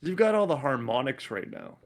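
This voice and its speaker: A man's voice: mid-range voice, big pitch swings, fairly quick. A man co-hosting a podcast in casual chat, laughing easily, restarting sentences and swearing casually. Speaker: podcast co-host.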